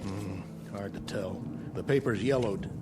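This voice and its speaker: An actor playing a stern, skeptical general in a radio drama, curt and authoritative. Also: male voice, fairly low pitch, a little slow, heavy background noise. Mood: skeptical, dry. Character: stern, skeptical American Air Force general.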